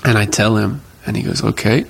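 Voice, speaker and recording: Man, casual storyteller, lowish gravelly voice, acts out the other people in the story. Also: fairly quick, rough recording. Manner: animated anecdote teller, acts out quotes.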